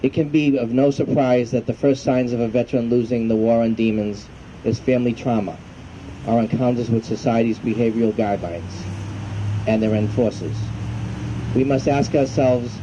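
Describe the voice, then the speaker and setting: A man reading a formal prepared ceremonial speech as a veterans' group commander, solemn and measured. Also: medium pitch, steady tone. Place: outdoor evening ceremony in a park. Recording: muffled, faint outdoor hum and traffic noise.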